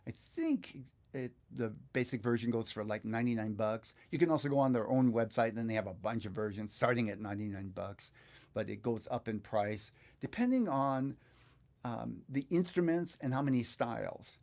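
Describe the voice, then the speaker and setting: Older man with a gravelly, creaky voice. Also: mid-range voice, moderate pace, darkish tone. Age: older, 50s to 60s. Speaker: guitar teacher hosting a live online session. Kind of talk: casual live tutorial. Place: indoor home studio.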